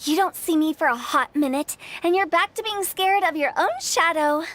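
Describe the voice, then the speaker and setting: Teasing young funeral director. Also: female voice, very high-pitched, moderate pace, fairly bright tone, a little hiss or hum. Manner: teasing, sing-song. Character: playful young female funeral director, mischievous.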